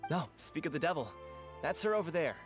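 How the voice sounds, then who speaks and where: A man's voice: on the high side, big pitch swings, a little fast, over music. An actor playing a chatty, opinionated townsman in a video game, emphatic and colloquial. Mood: enthusiastic. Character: chatty, opinionated townsman, animated and self-assured.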